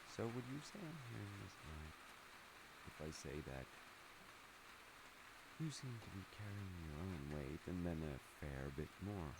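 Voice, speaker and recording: A man playing a gentle, attentive middle-aged father in a wholesome comfort roleplay, soft-spoken, hesitant and reassuring, with rain behind him. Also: deep voice, measured pace, creaky, pauses often, heavily acted. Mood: tender, concerned. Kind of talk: wholesome comfort audio roleplay, one-sided conversation. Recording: steady loud rain noise over voice. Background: rain sounds.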